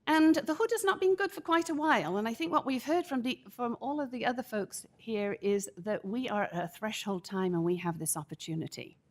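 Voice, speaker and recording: A middle-aged woman with a big, projecting voice gives formal testimony to a city council, speaking in a measured, emphatic, deliberate way into a podium microphone. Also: a little high, animated. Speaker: public commenter at city council. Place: council chamber.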